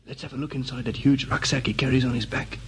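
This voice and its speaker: Man playing a creaky, nosy sneak. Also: mid-range voice, steady tone, quick pace, music in the background, natural voice. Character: nosy, bossy sneaking brother in a comedy.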